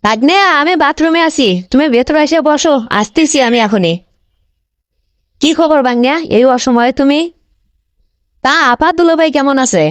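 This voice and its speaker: A woman's voice: high-pitched, moderate pace, long pauses, no room sound, natural voice. A woman voicing a newly married young aunt in a cartoon, talking in a North Bengal Bangla accent. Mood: annoyed. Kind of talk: cartoon dialect comedy acting.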